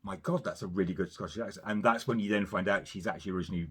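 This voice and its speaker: A man with a fairly low voice talking through a film in relaxed podcast chat. He wanders through his points, hedging often and circling back before landing his argument. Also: racing, husky. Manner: rambling, hedging with "you know" and "sort of". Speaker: podcast co-host. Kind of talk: casual film review chat.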